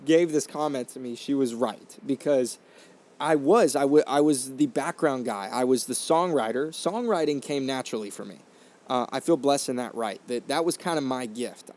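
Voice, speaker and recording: A man's voice: mid-range voice, a little mellow. A man in his twenties hosting a podcast, telling his own story in an earnest, reflective way with many fillers and restarts, into a condenser microphone. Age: 20s. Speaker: podcast host. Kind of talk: casual storytelling monologue.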